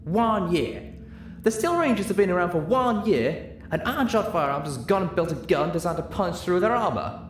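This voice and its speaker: A man's voice: high-pitched, expressive, moderate pace, reverberant, a little hiss or hum, pitched above the performer's own voice. A man voicing an older cartoon-style pony mare in an audiobook, a folksy, emphatic country boss, strained with fury and heartbreak. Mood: furious, anguished.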